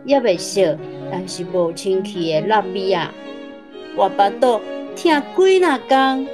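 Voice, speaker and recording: A woman's voice: mid-range voice, animated, slow pace, over music. An actress playing a mother fondly telling of her childhood in a radio drama. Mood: reminiscing.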